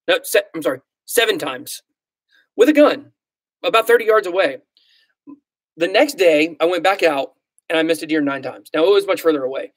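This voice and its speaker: A man in his thirties with a Southern accent, hosting a casual livestream and talking through viewer comments. His voice sits slightly high, and he rambles with frequent fillers and self-deprecating asides. The recording is close and dry, with no room sound. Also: dry recording. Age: 30s. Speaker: crypto livestream host.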